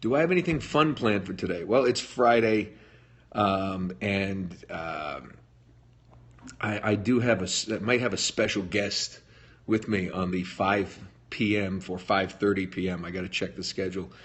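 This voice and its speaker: A middle-aged man hosts a live fan Q&A from home and chats loosely as he reads out comments. His voice sits low and sounds husky. He jokes easily and answers quickly. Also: a bit bright. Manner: chatty, jokey, reads comments aloud and answers. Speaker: livestream host answering fan questions. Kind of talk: casual live Q&A chat. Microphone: phone livestream.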